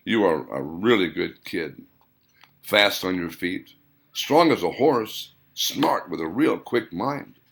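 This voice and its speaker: A wise, kindly old grandfather from the mountains played by a male narrator speaks in an audiobook story. The voice is low and creaky, with expressive rises and falls, and the delivery is gentle, deliberate and encouraging. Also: full character voice. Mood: tender, encouraging.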